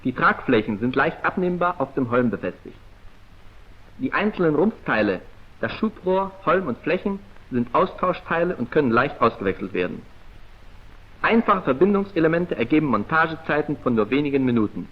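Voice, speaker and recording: A male narrator from a 1940s training film, heard through a dull, muffled vintage soundtrack. His pitch sits fairly high and moves in lively swings, with a husky edge. He speaks in a formal, precise and clipped declamatory manner. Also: moderate pace.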